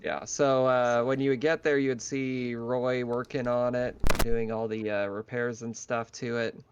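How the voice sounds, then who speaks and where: A man's voice: medium pitch, little pitch movement, a little slow, a little hiss or hum. A man casually narrating an online tabletop roleplaying game as game master. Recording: dull lo-fi online voice chat.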